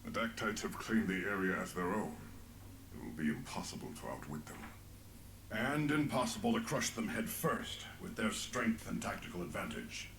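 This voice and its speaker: An actor playing a stern, forthright war planner in a fantasy game, with formal phrasing. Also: male voice, fairly low pitch, lively intonation, moderate pace, echoey room, noisy background. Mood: resolute. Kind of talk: dramatic fantasy game voice acting.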